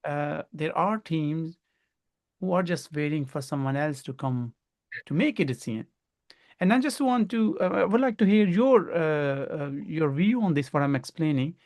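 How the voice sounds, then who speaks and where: A man with a Pakistani accent and a slightly high voice hosts an interview. He speaks conversationally and haltingly, often repeating and rephrasing as he looks for the right words. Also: expressive, darkish tone. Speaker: podcast host.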